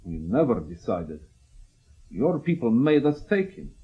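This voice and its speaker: Male KGB officer, radio drama, wary, deliberate, very varied intonation, muffled sound. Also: mid-range voice, big pitch swings. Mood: insistent. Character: wary, probing male Soviet KGB major.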